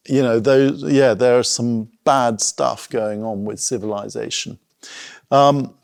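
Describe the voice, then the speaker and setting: A middle-aged man giving an informal public talk in a reflective, hesitant way, pausing as he thinks aloud. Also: mid-range voice, gravelly, a little mellow, dry recording, studio quality. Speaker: art school director giving a talk.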